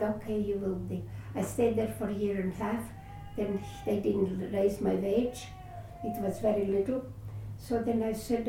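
This is an older woman with a Latvian accent, Latvian being her first language, telling her life story in an oral history interview. She speaks haltingly, often stopping to search for words and restarting. Her voice is mellow and dark, recorded from a distance in a very roomy space with a faint hiss underneath. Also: medium pitch.

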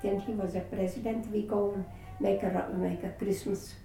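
An older woman with a Latvian accent, her first language, telling her life story in an oral history interview. She speaks haltingly, searching for words and restarting often. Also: mid-range voice, level tone, mellow, faint music behind. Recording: roomy echo, distant mic, faint hiss.

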